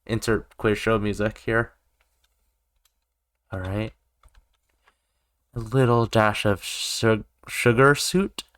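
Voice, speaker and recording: A man's voice: fairly low pitch, slow pace, long pauses, a bit bright, no room sound. A man doing let's play commentary, reading game narration aloud and dropping in joking asides. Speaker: gaming YouTuber. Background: music.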